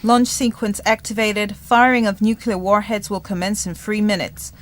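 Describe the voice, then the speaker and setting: Woman as a calm computer countdown voice. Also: mid-range voice, lightly acted. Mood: impassive. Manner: formal, measured. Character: calm, impersonal female computer launch announcer.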